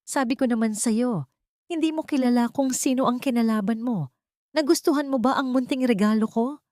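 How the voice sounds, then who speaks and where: A woman's voice: a little high, normal speed, darkish tone, no room sound, studio quality, pitched above the performer's own voice, lightly acted. Woman playing a venomous scheming rich wife. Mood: venomous, mocking. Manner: taunting, threatening, defiant. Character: venomous, greedy, scheming wealthy wife and mother. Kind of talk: Tagalog audio drama acting.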